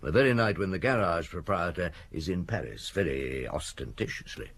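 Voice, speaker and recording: Low, husky-voiced police inspector. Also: male voice, expressive, normal speed, a bit bright, heavily acted. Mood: dry, probing. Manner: terse, questioning, authoritative. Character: shrewd, laconic male police chief inspector. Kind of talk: radio drama acting.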